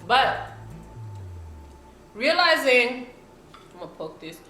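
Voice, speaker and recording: A woman's voice: high-pitched, very animated, slow pace, pushed and bright. A young woman from South Sudan chatting casually to camera as a vlogger while she eats, often checking in with her viewers. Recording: roomy room with faint background music.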